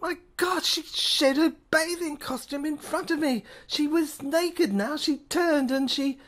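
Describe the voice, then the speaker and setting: A woman narrating an audio play in a halting, fragmented, intense first-person dramatic style. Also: high-pitched.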